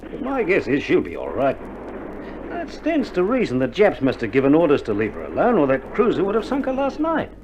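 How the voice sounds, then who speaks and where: An actor playing a weary but hopeful, stoical Australian army medic in a dramatised wartime radio play, with a laconic, slangy delivery. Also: male voice, a little high, huge pitch swings, fairly quick, background noise. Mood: wry. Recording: muffled 1960s vinyl record, music behind.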